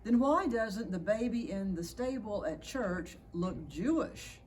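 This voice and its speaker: A woman's voice: medium pitch, expressive, slow pace, darkish tone, a little hiss or hum. A woman reading a storybook as a curious, persistent young boy with an earnest, questioning manner.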